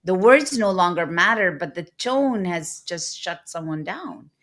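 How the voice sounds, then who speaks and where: A woman's voice: on the low side, expressive, unhurried, fairly bright tone. A middle-aged woman hosting an interview, asking reflective questions with hesitant restarts. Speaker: podcast host. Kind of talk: conversational interview.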